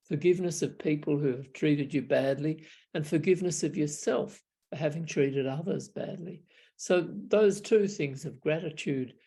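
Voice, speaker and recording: An older man with an Australian accent speaks over a home webcam call. His voice is gravelly and a little husky, his delivery reflective and wry, broken by restarts and small chuckles. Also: fairly high pitch, dark tone. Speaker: Buddhist monk. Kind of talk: casual storytelling on a video call. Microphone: video call webcam.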